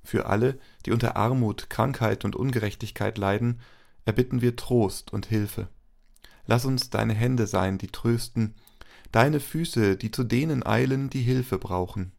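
A man reading liturgical prayers and blessings as a service leader, with solemn, deliberate phrasing. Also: medium pitch, gravelly, a little mellow.

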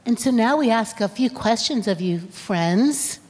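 A woman's voice: on the high side, lively intonation, unhurried, a little mellow. Woman leading vows in a church. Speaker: worship leader. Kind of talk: membership vows liturgy. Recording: long hall reverb.

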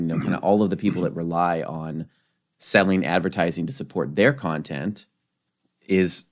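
A man co-hosting a casual discussion podcast, rambling with many restarts and fillers. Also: low-pitched. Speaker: podcast co-host.

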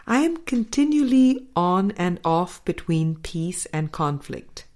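A woman reading a spiritual book aloud as a scripted narration, in measured, deliberate phrases with pauses. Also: mid-range voice, darkish tone. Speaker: audiobook reader.

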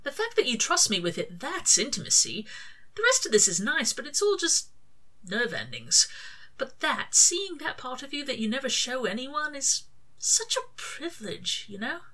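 Cheeky male demon for a story reading, acted by a woman: casual, slangy, sardonic, bright and forward in tone. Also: high-pitched, very animated, moderate pace, pitched above the performer's own voice, heavily acted. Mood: gentle, earnest. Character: cheeky, teasing, laid-back male demon.

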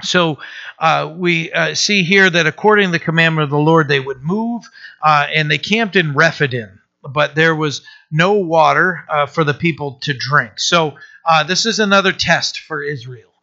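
A male pastor with a scratchy voice, teaching through a Bible passage in a casual, halting way with lots of fillers and throat-clearing. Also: a little high, sharp tone. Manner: halting, many uh fillers and restarts. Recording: dull lo-fi sound.

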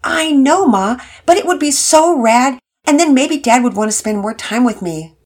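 A woman's voice: high voice, expressive, normal speed, a little mellow, voice pushed up. A woman voicing an earnest, eager teenage boy who stammers when moved. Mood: pleading. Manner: enthusiastic, stammering.

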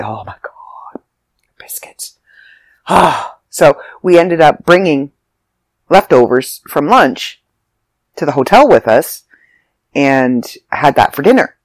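A stuffy, slightly raspy storyteller rambling through a casual travel story, circling back and correcting themselves as they go. The voice sits in a middling register. It is recorded close and dry. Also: mid-range voice, no room sound. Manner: chatty, rambling, self-interrupting. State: congested, raspy from allergies. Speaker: vlogger. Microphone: close mic, audio only.

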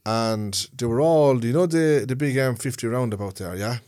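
A man's voice: medium pitch, moderate pace, darkish tone. Rambling Dublin man telling stories. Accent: Dublin Irish. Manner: rambling storyteller, checks in with "yeah?". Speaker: podcast co-host. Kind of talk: casual chat.

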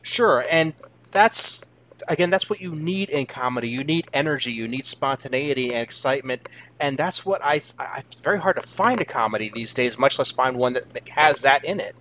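A man in his thirties hosting a casual interview, speaking haltingly as he searches for words. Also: mid-range voice, lively intonation, pushed and bright, a little hiss or hum, poor audio. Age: 30s. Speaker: interview host.

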